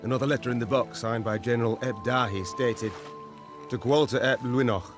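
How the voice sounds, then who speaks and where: A man's voice: mid-range voice, steady tone, fairly quick, natural voice. Loyal, formal knight, played by a man, faint music. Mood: grave, reporting. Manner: formal, deferential, earnest. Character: loyal, earnest knightly officer serving a queen. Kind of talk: video game voice acting. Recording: music behind the voice.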